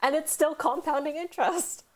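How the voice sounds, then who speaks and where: A young woman from Canada hosting a casual stream chat, rambling quickly with lots of "like" and casual swearing. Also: sits high. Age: young adult. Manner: fast, rambling, heavy use of "like", swears. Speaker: streamer host.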